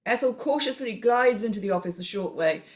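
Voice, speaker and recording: A woman's voice: on the high side, expressive, a little fast. A woman narrating a screenplay, reading out scene headings and stage directions as scripted narration.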